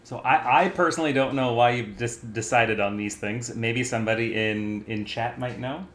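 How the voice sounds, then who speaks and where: A man's voice: mid-range voice, normal speed, fairly bright tone, a little hiss or hum. A man hosting a live math reading group stream, welcoming viewers in a loose, stumbling way. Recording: roomy echo.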